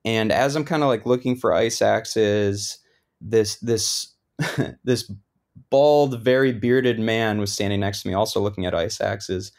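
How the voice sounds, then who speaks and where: Male guest, low register, easygoing, rambling interview storyteller. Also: measured pace. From Lebanon. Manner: relaxed, lots of "like" fillers. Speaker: guest, thru-hiker.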